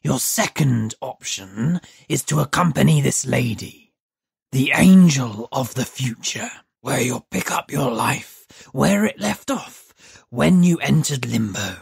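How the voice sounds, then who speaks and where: A narrator voicing a harsh, creaky toad-like demon. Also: medium pitch, animated, no echo, studio quality, exaggerated voice. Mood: scornful. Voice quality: harsh, grating voice. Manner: slow, drawling and scornful. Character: bald toad-like male demon of the past, contemptuous.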